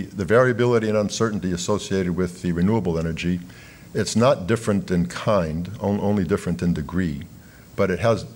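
Deep-voiced man giving a conference lecture. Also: a little mellow, a little hiss or hum. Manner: measured, explanatory, frequent fillers and restarts. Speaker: keynote speaker. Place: large conference hall stage at a lectern.

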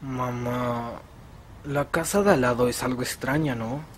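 A man's voice: mid-range voice, a little slow, lightly acted. A man acting a nervous, curious teenage son in a horror anime fan dub, with a youthful Latin American Spanish accent. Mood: uneasy curiosity. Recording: faint background noise.

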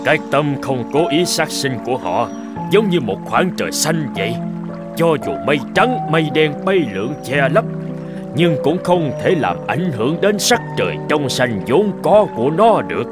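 A man voicing a wise old hermit in a cartoon, calm, admonishing and preachy in a measured way. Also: on the high side. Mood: solemn teaching. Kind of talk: dubbed cartoon moral tale. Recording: music behind the voice.

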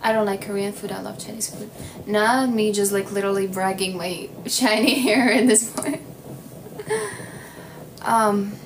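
Young Ukrainian-native woman, slow easy livestream chatter into a phone, clear background noise in the room. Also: medium pitch. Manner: slow, relaxed, playful, lots of "like". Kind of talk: casual livestream chat reading comments. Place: small indoor room. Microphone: phone at arm's length.

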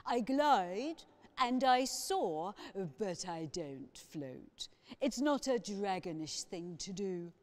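Woman doing a slow, fussy storybook dragon. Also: medium pitch, lively intonation, darkish tone. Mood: haughty.

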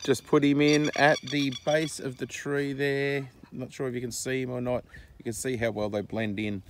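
A man in his forties with an Australian accent, narrating a casual vlog in an informal, halting way, recorded outdoors on a handheld phone. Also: medium pitch, little pitch movement, a little hiss or hum. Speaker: farm vlogger. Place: outdoors on a dry rural farm. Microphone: handheld phone at arm's length.

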